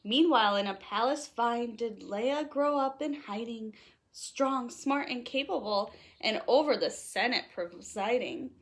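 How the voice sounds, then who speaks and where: A woman reading a rhyming children's picture book aloud in an expressive, playful, sing-song way. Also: a little high, a little slow. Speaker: storytime reader.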